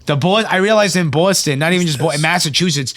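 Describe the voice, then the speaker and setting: A man's voice: fairly high pitch, fast, fairly bright tone, no echo. A young man with a New York accent, a podcast guest chatting bluntly with plenty of swearing. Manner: blunt, profane, says bro. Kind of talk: casual chat.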